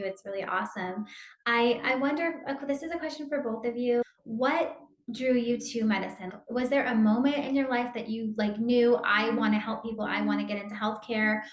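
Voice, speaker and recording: A woman's voice: on the high side, reverberant. A woman interviewing guests, asking long rambling questions with enthusiasm.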